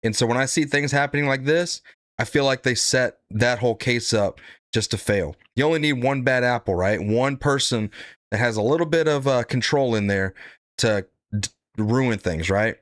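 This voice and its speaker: A man's voice: medium pitch, normal speed, no echo, studio quality. Blunt, profane male commentator. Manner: blunt, profane, rambling with repeated "right?". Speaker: political commentary host. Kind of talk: casual reaction commentary.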